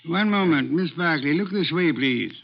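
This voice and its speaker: An actor playing a brusque, pedantic private detective in a vintage radio drama, speaking formally and precisely with a gravelly, pompous delivery. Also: male voice, fairly high pitch, expressive, normal speed. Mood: brusque, inquisitive. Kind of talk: 1940s-50s radio drama acting. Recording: dull vintage radio recording.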